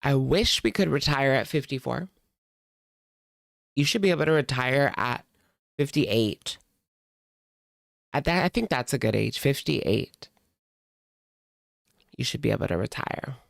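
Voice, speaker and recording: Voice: medium pitch, animated, unhurried, gravelly, long pauses, dry recording, clean studio sound. A reaction channel host talking casually in a blunt, mocking and sardonic way while commenting on a video.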